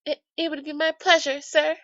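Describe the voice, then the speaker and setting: Woman as a high, chirpy little noble girl. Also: normal speed, no echo, lo-fi sound. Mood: flustered, polite. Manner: excitable, chirpy, childlike. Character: bubbly, bold little noble girl, eager and naive.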